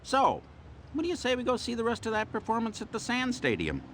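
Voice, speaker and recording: A peevish, gung-ho cartoon sidekick. The voice is high and swings wildly between grumbling and melodrama, with clear noise behind it. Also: male voice, wildly swooping pitch, brisk, full character voice. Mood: matter-of-fact. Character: exasperated male superhero sidekick, peevish yet gung-ho. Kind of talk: cartoon video game acting.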